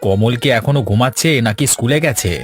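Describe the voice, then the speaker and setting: A man plays a mild village husband in a cartoon. He talks plainly and placidly at a middling pitch, a weary labourer, over faint music. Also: brisk, lightly acted. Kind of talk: cartoon voice acting.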